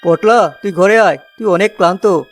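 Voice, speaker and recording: An actor's gentle, worried cartoon elder brother. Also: medium pitch, normal speed, close to an everyday voice. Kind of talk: children's cartoon voice acting. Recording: loud music under the voice. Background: loud music.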